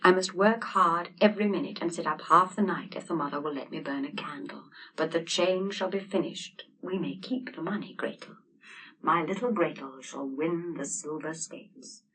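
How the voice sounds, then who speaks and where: A woman voicing an earnest, humble teenage Dutch boy with a halting, stammering way of speaking in an audiobook story. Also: low voice, fairly bright tone, slightly rough, distant mic, poor audio. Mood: resolute, tender. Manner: halting, stammering when addressing his betters.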